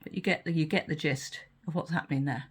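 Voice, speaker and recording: A woman's voice: deep voice, steady tone, fast, breathy edge. A middle-aged woman chatting casually through a resin craft demonstration, giggly and easily delighted, while losing her voice. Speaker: resin art vlogger.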